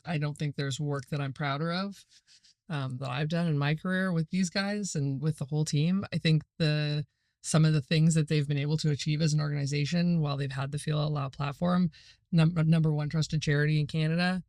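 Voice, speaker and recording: A man with a fairly high voice speaks earnestly about work he is proud of, hedging his points with "I think". The sound is close and dry. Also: fairly even intonation, brisk, darkish tone, dry recording. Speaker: agency leader, guest. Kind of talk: casual panel conversation.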